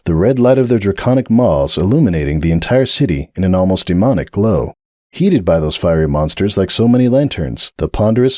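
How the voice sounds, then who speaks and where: A man narrating a dramatic audiobook reading of a fanfiction story in a measured, expressive way. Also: fairly low pitch, no room sound, studio quality.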